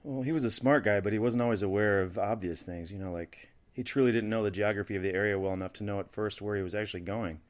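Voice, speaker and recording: A man's voice: fairly low pitch, fast, few pauses, close to an everyday voice. A man playing a plain-spoken church counselor giving a conversational, matter-of-fact interview in an audio drama. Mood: matter-of-fact, reflective.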